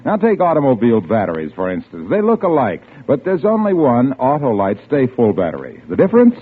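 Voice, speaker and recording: A man working as a 1940s radio announcer, reading scripted sponsor copy in an emphatic, polished salesman's style with a husky voice. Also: mid-range voice, huge pitch swings, brisk, phone-quality sound. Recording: thin vintage radio broadcast, faint music.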